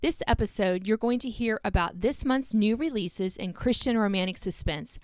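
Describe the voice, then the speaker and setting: A woman's voice: medium pitch, moderate pace, no room sound. A woman hosting a podcast, introducing guests and interviewing them. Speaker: podcast host.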